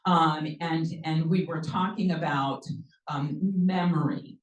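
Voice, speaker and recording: A middle-aged woman gives a conversational lecture in a low voice, filling pauses with ums. She sounds a bit far from the mic, with the room's echo around her. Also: fairly even intonation, muffled recording. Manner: conversational, many ums, acts out quoted dialogue. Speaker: presenter, language teacher. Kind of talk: lecture presentation. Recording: echoey room, mic from afar.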